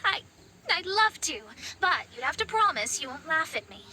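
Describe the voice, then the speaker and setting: A woman's voice: extremely high pitch, sing-song, moderate pace, bright, forceful tone, a little hiss or hum, exaggerated voice. A woman voicing a shy, easily flustered young woman in an anime video game, speaking in a hesitant stammer.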